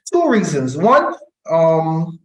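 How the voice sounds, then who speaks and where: A middle-aged man from Barbados, explaining his views deliberately as an interview guest and repeating phrases for emphasis. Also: on the high side, wildly swooping pitch. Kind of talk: reflective conversational interview. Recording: echoey room.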